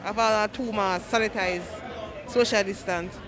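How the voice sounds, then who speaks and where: A woman's voice: mid-range voice, fairly even intonation, normal speed, a bit bright, soft background music. A woman with a Jamaican accent answers firmly in short replies, standing on a busy city street. A faint crowd murmurs behind her. She speaks into a handheld mic held by the interviewer. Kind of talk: casual street chat.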